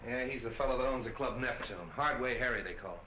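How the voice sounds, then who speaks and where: A man's voice: medium pitch, fast, pushed and bright, lightly acted. An American man in his thirties playing an impatient, sarcastic police captain in a 1940s film. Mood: businesslike. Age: 30s. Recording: dull, noisy, echoey 1940 film soundtrack.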